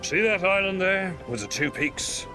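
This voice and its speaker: Seafaring captain, formal, commanding, lighter-pitched, animated, music behind. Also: male voice, normal speed. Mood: confident, challenging. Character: seafaring man testing a young recruit at the helm. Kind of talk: video game voice acting.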